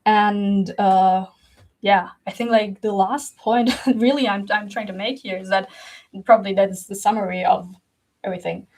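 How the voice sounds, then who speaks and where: A woman's voice: medium pitch, steady tone, normal speed, a little mellow. A young woman from Germany whose first language is Russian, talking casually to camera as a creative coach, rambling with many fillers and restarts and laughing often.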